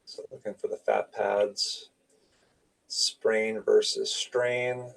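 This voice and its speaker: A man with a lowish, creaky voice teaches radiology in an informal lecture style. He talks through slides in short, conversational phrases. He sounds some distance from the microphone. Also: flat delivery, slow pace, a bit bright.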